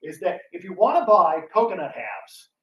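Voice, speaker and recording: A man's voice: high-pitched, big pitch swings, moderate pace, dull audio. A man chatting casually with an audience as a panel speaker at a talk. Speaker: radio theatre actor and panel speaker. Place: indoor talk in a roomy space. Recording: roomy, distant, noisy live room recording.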